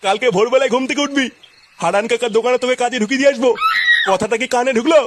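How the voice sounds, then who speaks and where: A man's voice: extremely high pitch, rapid-fire, heavy background noise. A man voicing an irate, foul-mouthed family man in a crude comedy cartoon, scolding and hectoring. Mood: angry scolding.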